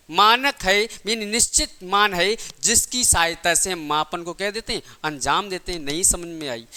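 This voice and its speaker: High, bright-voiced male teacher. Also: slight background noise. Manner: asks questions and answers them himself, repeats key points. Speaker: physics teacher for exam coaching. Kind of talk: classroom lecture in simple everyday examples.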